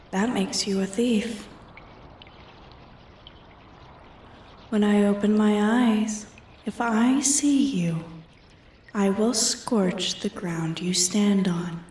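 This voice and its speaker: A woman's voice: medium pitch, a little mellow, slight background noise. A woman playing an ancient, arrogant female dragon in a roleplay ASMR, haughty and taunting, often sinking toward a whisper. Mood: quiet menace. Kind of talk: roleplay ASMR, scripted dramatic monologue. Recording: echoey, distant from the mic.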